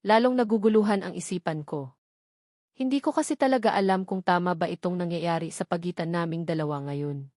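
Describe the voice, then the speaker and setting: A woman's voice: on the low side, a little fast, darkish tone, no echo. Woman narrating a romance novel, dry close sound. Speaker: audiobook narrator. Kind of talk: scripted first-person romance novel narration.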